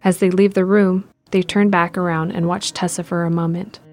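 A woman with a slightly low voice narrates a scripted drama. Her delivery is measured and descriptive, with faint music playing beneath. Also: little pitch movement.

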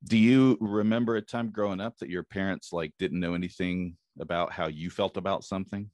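A middle-aged man hosting a podcast, speaking in a halting, casual way with many pauses. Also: low voice, steady tone, a little mellow, dry recording, studio quality. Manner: halting, many fillers and restarts. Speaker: podcast host. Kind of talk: casual interview.

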